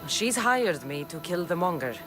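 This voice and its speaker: A woman's voice: fairly low pitch, sing-song, moderate pace. A woman playing a hardened exiled Spartan mercenary in a video game, with a blunt, firm delivery. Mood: blunt, resolute. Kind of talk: video game dramatic dialogue. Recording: music behind the voice.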